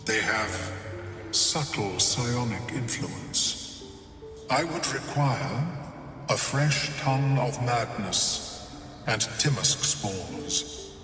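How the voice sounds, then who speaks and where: An actor playing a calm, scholarly male mind flayer in a video game, speaking in a precise and learned manner. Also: mid-range voice, drawn-out words, long pauses, over music. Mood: explaining. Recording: echoey reverberant room.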